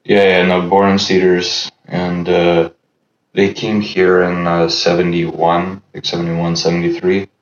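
A man in his thirties with a very deep voice, talking casually as a guest and pausing often with fillers. The sound is roomy and a bit dull, as if from a lo-fi setup. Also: slight background noise. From Los Angeles. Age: 30s. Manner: relaxed, halting, many fillers. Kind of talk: casual chat.

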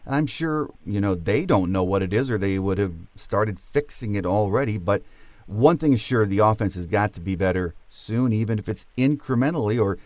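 Lowish American man hosting, dry close sound. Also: animated, normal speed, darkish tone, dry recording. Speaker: podcast host. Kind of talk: conversational sports interview.

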